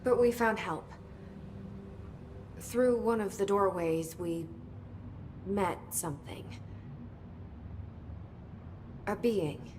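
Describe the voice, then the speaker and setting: A woman's voice: mid-range voice, takes it slowly, long pauses, darkish tone, a little hiss or hum, natural voice. A woman playing a terse young director. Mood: confiding. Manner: terse, businesslike.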